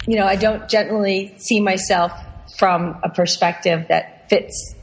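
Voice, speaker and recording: A woman's voice: medium pitch, fairly even intonation, measured pace. Chatty woman in a reverberant space. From Pennsylvania, US. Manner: chatty, restarts and trails off often, laughs easily. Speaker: podcast guest, workshop founder. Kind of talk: casual interview chat. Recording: long reverb on voice.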